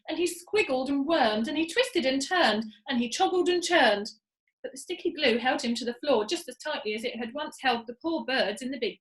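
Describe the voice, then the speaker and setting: A woman storyteller reads aloud to children. Her voice is high and very animated, bringing the narration out expressively. The room is noticeably echoey.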